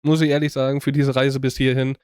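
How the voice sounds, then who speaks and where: A young man hosting his own channel, talking casually to his viewers and rambling with many fillers and restarts in relaxed slang. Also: mid-range voice, fast talker, smooth, no room sound, clean studio sound. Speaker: YouTube reaction channel host.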